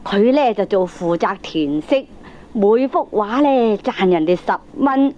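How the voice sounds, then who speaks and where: An actress playing a sharp-tongued, indignant middle-aged wife and mother in a Cantonese comedy radio drama, with a Hong Kong accent and a nagging, scornful, combative manner. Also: medium pitch, lively intonation, unhurried, natural voice. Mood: confiding. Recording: dull lo-fi old cassette tape.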